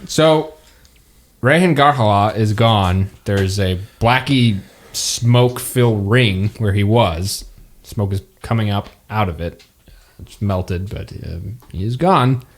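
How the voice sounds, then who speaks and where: A man narrating as game master of a tabletop game, relaxed and quick to laugh. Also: fairly low pitch. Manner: relaxed, laughs often, hesitant fillers.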